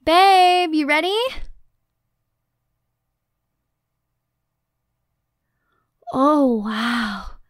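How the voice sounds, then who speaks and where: A woman playing a dorky, affectionate young girlfriend in an intimate ASMR roleplay, expressive and teasing, close on the mic. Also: high-pitched, drawn-out words, dry recording, full character voice. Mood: cheerful. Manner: playful, expressive, teasing, nerdy rambling. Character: playful, dorky, Halloween-obsessed young girlfriend, excitable and affectionate. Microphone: close, dry studio microphone.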